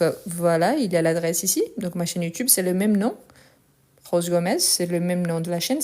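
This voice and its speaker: A woman teaching French in a live online lesson, speaking slowly and clearly and repeating phrases for learners. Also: on the low side, mellow. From France and Brazil.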